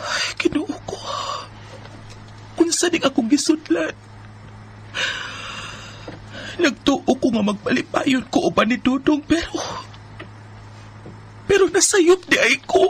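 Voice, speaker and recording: A woman's voice: high-pitched, animated, measured pace, long pauses, a little hiss or hum. A woman playing a long-suffering young wife in a Bisaya radio drama, with plaintive, emotional delivery. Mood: despairing. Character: long-suffering young wife, trusting, then tearful and defiant.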